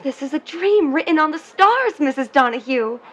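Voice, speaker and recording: A woman's voice: high voice, lively intonation, moderate pace, fairly bright tone. A woman playing an earnest, romantic young sweetheart in a 1950s audio drama, speaking in a polite, dreamy, poetic way. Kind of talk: vintage-set audio drama acting.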